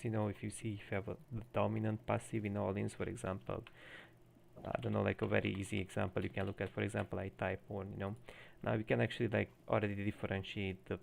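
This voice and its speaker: A man's voice: on the low side, gravelly, a little hiss or hum. A man with a Hungarian accent coaching a player live on stream, explaining at length with many fillers. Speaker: game coach.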